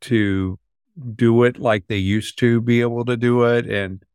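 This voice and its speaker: A man chatting casually as a podcast host, musing in halting phrases. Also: mid-range voice, fairly even intonation, darkish tone, clean tone, no room sound, clean studio sound. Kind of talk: casual conversation.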